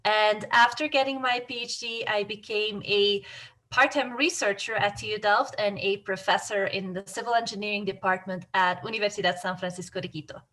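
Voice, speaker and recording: A woman's voice: mid-range voice, steady tone, fairly quick, sharp tone. A woman from northern Belgium with a Flemish accent and a native Dutch tongue, chatting as a guest in a casual interview in a friendly, chatty way. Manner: friendly, chatty, with light laughs and fillers. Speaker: guest, civil engineering professor.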